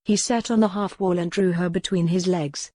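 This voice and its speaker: Low-pitched woman narrating historical romance. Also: fairly even intonation, normal speed, darkish tone, no room sound. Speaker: audiobook narrator.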